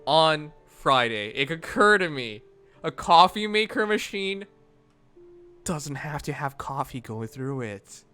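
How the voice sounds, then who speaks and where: Lively young man streamer, bright voice. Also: on the high side. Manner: animated, rambling, quick to laugh. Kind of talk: casual stream chat. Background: faint music.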